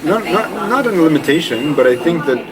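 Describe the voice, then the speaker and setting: A man's voice: fairly high pitch, brisk. Chatty young man from Eastern Canada. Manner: relaxed, rambling, lots of "like". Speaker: interview guest. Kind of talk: casual conversational interview. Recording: loud crowd noise behind.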